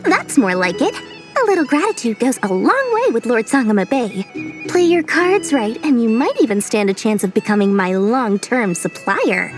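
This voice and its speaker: Haughty little-patience merchant lady, game role, high animated voice, money-minded yet soft-hearted, faint music behind. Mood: smug. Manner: haughty, brisk, defensive. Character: proud, money-minded female merchant lord, prickly but soft-hearted. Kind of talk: video game character dialogue.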